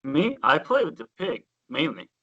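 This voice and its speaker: Enthusiastic Turkish-accented man, bright voice. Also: fairly high pitch, huge pitch swings, normal speed, gravelly, breathy edge, no room sound, dull audio. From Turkey. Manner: enthusiastic storyteller, loose grammar, swears casually. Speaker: guest bassist. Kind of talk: casual interview chat.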